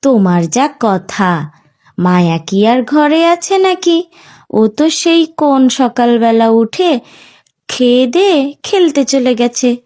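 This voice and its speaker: A woman's voice: on the high side, lively intonation, slow pace, a little mellow. A woman voicing a scheming, resentful cartoon stepmother who grumbles and scolds behind a sweet front. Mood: irritated. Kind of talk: Bengali cartoon voice acting.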